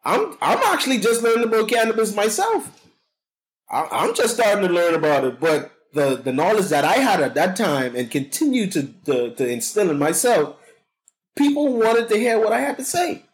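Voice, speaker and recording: Man, Bahamian accent, boisterous, talkative, fairly high voice, repeats words. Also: sing-song, moderate pace, poor audio. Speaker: guest, cannabis consultant. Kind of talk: casual storytelling interview.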